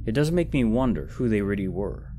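A man narrating as a storyteller and host in a measured, reflective manner. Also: lowish voice, very animated, mellow, a little hiss or hum. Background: background music.

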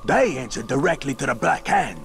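A man with a British accent voicing a scheming, wheedling, boastful orc in a video game, gravelly and theatrical. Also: mid-range voice, big pitch swings, music in the background. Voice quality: gravelly, creaky. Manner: sly, theatrical, drawn-out phrasing. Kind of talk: video game character acting.

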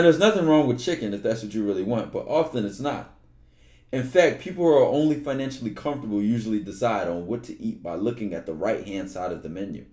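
A young man streaming from his bedroom on a headset, reading a book aloud with relaxed, slangy asides. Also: mid-range voice, echoey room. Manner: relaxed, slangy, loud outbursts when joking.

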